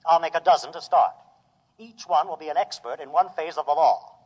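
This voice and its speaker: A man's voice: a little high, brisk, natural voice. A man playing an earnest, deferential robot in a 1950s radio drama, modest and matter-of-fact as he serves his boss. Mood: eager, confident. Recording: vintage radio, long reverberant ring.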